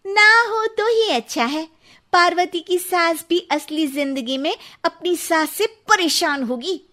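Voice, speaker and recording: A shrill, sharp-tongued young bride. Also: female voice, takes it slowly, heavily acted. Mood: taunting. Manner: shrill, bickering, taunting. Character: sharp-tongued young daughter-in-law, quarrelsome. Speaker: voice actor. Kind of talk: cartoon voice acting.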